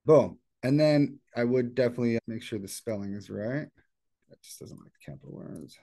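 A man presenting an informal step-by-step software tutorial in a casual, explanatory way. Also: medium pitch, moderate pace, vocal fry. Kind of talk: casual screen-share tutorial. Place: indoors at a computer.

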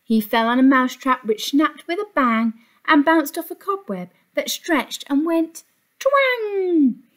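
A woman tells a story to small children in the manner of an infant school teacher. Her voice sits fairly high and swoops up and down with sing-song emphasis. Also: big pitch swings, measured pace, a bit bright. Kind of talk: expressive picture-book storytelling for young children. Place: small indoor classroom.

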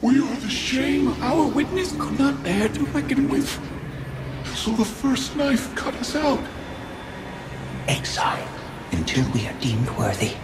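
An actor voicing a ghostly, imprisoned dissenter spirit in a video game, speaking in breathy whispers and solemn, cryptic pronouncements. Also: male voice, very high voice, sing-song, measured pace, heavy background noise, exaggerated voice. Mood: solemn, pleading.

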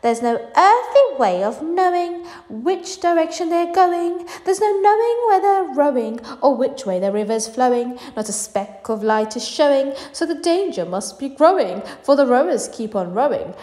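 A woman's voice: high-pitched, sing-song, fairly quick, few pauses, voice pushed up. A woman voicing a gleeful, bossy, eccentric male chocolate-maker from a storybook, in exclamatory commands. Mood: gleeful chanting. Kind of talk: storybook character voice. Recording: echoey reverberant room.

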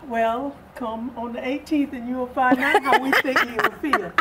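An older woman speaking as an interview guest in a measured, deliberate way with pauses. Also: high voice. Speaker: guest from a readers theatre group. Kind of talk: interview conversation. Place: indoor sitting room set. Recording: distant mic with faint room noise.

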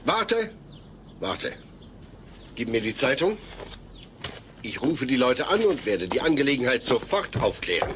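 A man's voice: medium pitch, very animated, unhurried, pauses often, noisy background. An actor playing a sensible, exasperated family father, speaking earnestly and precisely in a comedy audio play. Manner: earnest, formal, precise wording.

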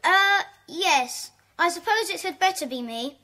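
Polite schoolchild, piping high pitch, sharp tone, apologetic, children's drama. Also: female voice, animated, a little slow, exaggerated voice. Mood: nervous, polite. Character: polite, hesitant schoolchild in a secret club. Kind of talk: children's audio drama acting.

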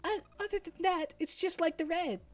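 A man's voice: extremely high pitch, fairly quick, a bit bright, voice pushed up. A man voicing a bubbly, bratty anime girl character in a video game, with a sing-song manner.

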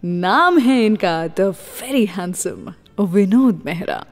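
A woman's voice: mid-range voice, animated, very slow, faint music behind. A woman with an Indian accent hosting a radio show, conversational yet scripted, mixing in English phrases and teasing listeners with questions. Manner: mixes English phrases into speech, teases with questions. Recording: long reverb on voice.

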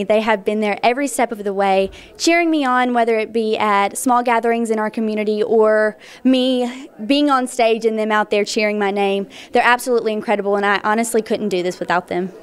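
A young woman from Mississippi introducing herself as a pageant contestant, gushing warmly about her family. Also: fairly high pitch, fairly quick, few pauses, clear, resonant tone. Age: young adult. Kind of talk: casual self-introduction.

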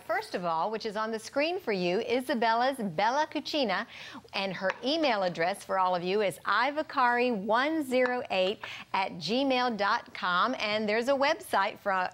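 A woman's voice: medium pitch, a little hiss or hum. A woman in her fifties hosting a TV lifestyle show, eagerly speaking straight to viewers from a studio table. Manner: warm, eager, addresses viewers directly. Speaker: TV talk show host.

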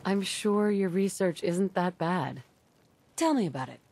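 A woman's voice: fairly low pitch, expressive, unhurried, darkish tone. A woman playing a blunt, curious wasteland adventurer with direct, plain-spoken delivery in a video game. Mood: friendly, curious. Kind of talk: video game player-character dialogue.

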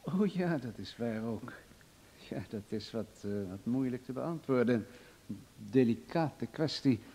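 A wealthy, domineering boss in an old radio drama. His husky, middle-pitched voice is gruff and imperious, that of an irascible man used to command. The sound has a long reverb. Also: lively intonation, measured pace, dark tone. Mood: evasive. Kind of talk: Dutch radio drama acting.

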